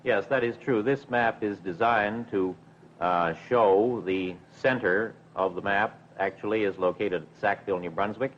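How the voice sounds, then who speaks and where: A middle-aged man, a broadcasting official, answering in a measured, careful, formal way with some hesitation in a 1950s television interview. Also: fairly low pitch, fairly bright tone. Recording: dull 1950s television recording with hum.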